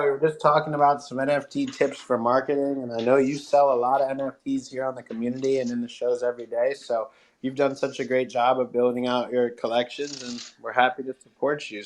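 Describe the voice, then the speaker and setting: A man's voice: mid-range voice, a little mellow, a little hiss or hum. A man hosting a casual live online community talk in an encouraging, slangy, upbeat way. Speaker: host. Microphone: live online audio room.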